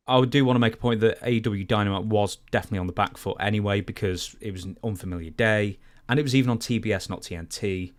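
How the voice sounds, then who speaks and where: A man co-hosting a podcast, giving a casual news recap and commentary in a wordy, explanatory way. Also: on the low side. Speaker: podcast co-host.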